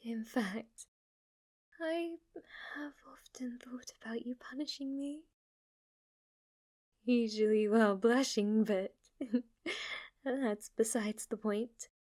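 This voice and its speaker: A woman's voice: a little high, takes it slowly, long pauses, darkish tone. A woman playing a devoted, submissive demon maid in an ASMR roleplay, with a formal, deferential and adoring manner. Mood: flustered longing. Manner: formal, deferential, breathless adoration, sometimes whispering. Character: devoted, submissive, obsessively worshipful female demon maid servant.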